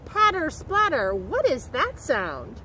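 A middle-aged woman reading a picture book aloud to young children in an expressive, carefully enunciated way, recorded outdoors. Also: sits high, slow. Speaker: storybook reader and nature educator. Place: outdoors by a woodland creek. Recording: outdoor background noise.

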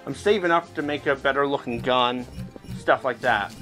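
A man's voice: medium pitch, normal speed, pushed and bright. A middle-aged man hosting a gaming video, explaining things casually with a self-deprecating manner as he plays. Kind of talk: casual gameplay commentary. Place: indoors, recording while playing VR game. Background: game music.

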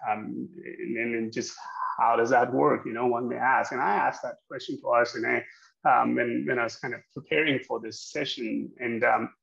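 Man in his 30s, gravelly, halting speaker; dull lo-fi video call sound. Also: mid-range voice. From Pakistan. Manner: hesitant, many fillers and restarts. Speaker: guest speaker, accounting educator. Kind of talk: webinar presentation. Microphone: video call webcam.

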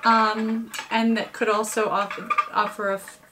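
A woman in her thirties presenting a demonstration with a co-host, with deliberate, measured phrasing and slightly theatrical touches. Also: a little high, little pitch movement, noisy background. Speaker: puppetry presenter. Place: home kitchen.

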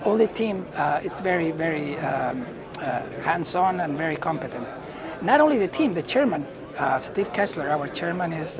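Higher-voiced man with a Chilean Spanish accent. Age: middle-aged. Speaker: company chief executive being interviewed. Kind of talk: unscripted business interview. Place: indoor booth at a trade conference. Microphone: lapel mic. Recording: busy event hall chatter behind.

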